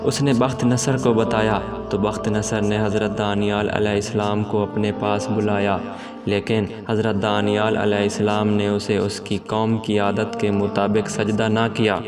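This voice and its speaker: A man narrating a scripted religious story in a measured, formal way. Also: on the low side, level tone, music in the background.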